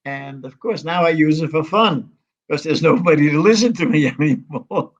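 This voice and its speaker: A man in his nineties, an interview guest from the United States, reminiscing in a halting, rambling way full of restarts and fillers. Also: a little high, animated, dull audio. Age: 90s. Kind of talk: conversational reminiscing.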